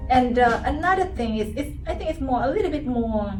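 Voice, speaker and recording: A woman's voice: high voice, animated, fairly quick, darkish tone. Vietnamese woman teacher in a roomy space. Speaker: language school teacher. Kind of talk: casual interview answers. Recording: roomy, distant mic, music behind.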